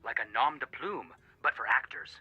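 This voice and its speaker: An actor playing an earnest, excitable male detective friend with a punning streak in a video game, dramatic, heard through a phone filter. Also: medium pitch, normal speed, slightly rough, dry recording, muffled recording. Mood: serious.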